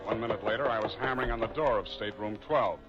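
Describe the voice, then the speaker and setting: An actor playing a wry, hardboiled private detective who narrates a 1940s radio drama in a deadpan way. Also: male voice, lowish voice, fast, lightly acted. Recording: muffled old radio recording with music.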